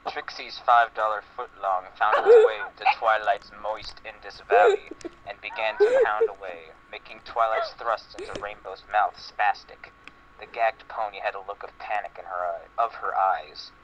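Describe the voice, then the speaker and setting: Lowish-voiced man reading a comic story. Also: huge pitch swings, moderate pace. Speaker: reader. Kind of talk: comedic dramatic reading of fan fiction. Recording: lo-fi muffled audio, faint noise.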